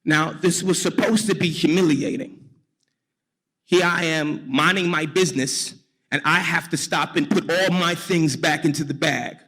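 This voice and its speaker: A man from New York telling a personal story on stage, deliberately and wryly, with dramatic pauses. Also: on the high side, steady tone, bright, forceful tone, breathy edge. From New York, United States.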